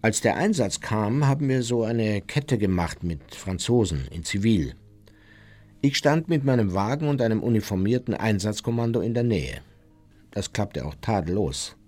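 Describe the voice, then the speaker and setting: An actor in a radio play voicing an unrepentant, boastful former SS officer who recounts events in a matter-of-fact, self-justifying way. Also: male voice, lowish voice, expressive, moderate pace, darkish tone, soft background music. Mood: matter-of-fact, smug.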